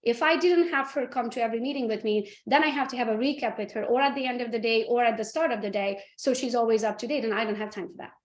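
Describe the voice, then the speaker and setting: A woman with a fairly high voice leads a training session, speaking in a roomy, echoing space. She keeps checking in with her audience by asking them to confirm each point. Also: fast talker, few pauses. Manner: checks in with the audience using tag questions. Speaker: host and real estate team leader. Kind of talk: live training talk. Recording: roomy echo.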